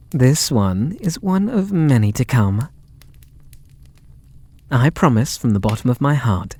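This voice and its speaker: Man acting as a mellow British possessive yandere. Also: medium pitch. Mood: tender. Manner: doting, intimate, theatrical, giggly. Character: possessive, lovestruck male yandere kidnapper, gleefully sweet. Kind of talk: ASMR roleplay audio drama.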